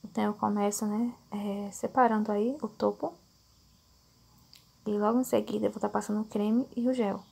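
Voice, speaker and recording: A woman in her thirties gives a relaxed at-home hair tutorial. Her voice is dark and husky, with a gravelly edge at the ends of phrases. She keeps turning to her viewers and checking that they follow. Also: mid-range voice, normal speed. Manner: chatty, addresses viewers as "gente", many fillers like "né". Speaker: beauty vlogger. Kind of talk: casual hair-care tutorial. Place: small bedroom at home, indoors.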